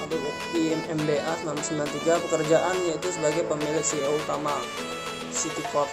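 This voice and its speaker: Young guy reading his student presentation, kinda high voice, trips over words n repeats them, music going behind him. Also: level tone, quick pace. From Indonesia. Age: young adult. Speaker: university student giving a presentation. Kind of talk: scripted narration read aloud.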